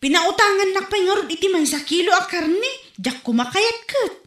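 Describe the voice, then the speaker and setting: A woman's voice: very high voice, animated, normal speed, a bit bright. An actress playing a chatty, gossiping neighbour woman in a comedy audio drama, speaking with an Ilocano accent from the northern Philippines. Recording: echoey room.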